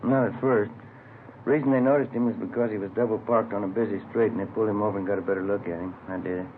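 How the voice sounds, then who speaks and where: An American man playing a plain-spoken, laconic police detective, the steady partner in a 1950s radio crime drama. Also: fairly low pitch, quick pace, close to an everyday voice. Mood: matter-of-fact. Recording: old radio, phone-like band, faint noise.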